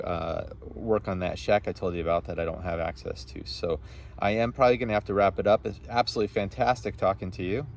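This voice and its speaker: A man's voice: deep voice, creaky, slight background noise. A man talking as an amateur radio operator in a casual two-way chat, with a rambling, appreciative, friendly manner.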